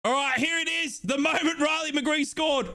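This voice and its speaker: A man from Australia streaming a football watchalong, cheering and yelling excitedly, his voice hoarse from shouting. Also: extremely high pitch, normal speed. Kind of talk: excited fan commentary.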